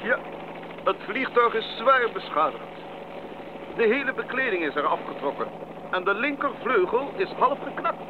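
An actor playing a decisive, concerned mission doctor in a Dutch radio drama. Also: male voice, high voice, sing-song, normal speed. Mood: urgent, grave. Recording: dull recording with clear background noise.

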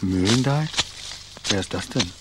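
Curt, low-voiced estate employee. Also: male voice, expressive, unhurried. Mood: curt, defensive. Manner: curt, defensive. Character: curt, defensive male estate employee loyal to his boss. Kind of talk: German radio crime drama acting. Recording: clearly noisy background.

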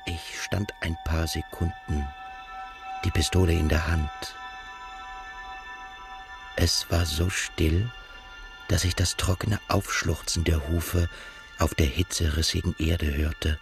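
A male actor playing a melancholy, haunted émigré narrator in a literary radio drama, speaking in a measured, reflective way. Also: low-pitched, fairly even intonation, gravelly, over music, heavily acted. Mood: somber, reflective.

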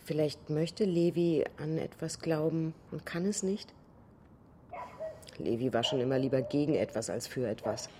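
A woman's voice: low voice, normal speed, long pauses, noisy background, natural voice. An actress playing a reflective middle-aged mother, giving testimony in a naturalistic radio drama. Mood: musing.

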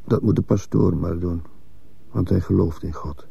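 Dark, gravelly, brooding man. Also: sits low, moderate pace, dry recording, full character voice. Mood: grave, remorseful. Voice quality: gravelly, husky. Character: brooding guilt-ridden man confessing, male, adult. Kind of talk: radio drama monologue. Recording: dull lo-fi radio recording.

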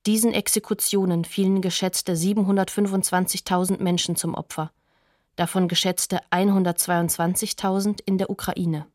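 A woman narrating a scripted documentary in a factual, measured manner. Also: on the low side, steady tone, a little mellow, dry recording, studio quality.

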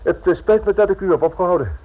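An actor in a radio play voicing a polite, nervous writer who stammers haltingly through his inquiries in a husky voice. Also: high voice, lively intonation. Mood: apologetic. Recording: dull, lo-fi old radio recording.

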